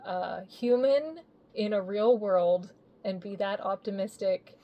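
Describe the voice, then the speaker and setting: A woman's voice: medium pitch, slow, lo-fi sound. A woman, a voice actor with years of radio work behind her, chatting easily in an interview. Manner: chatty, easygoing storyteller. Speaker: voice actor guest. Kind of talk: casual interview chat.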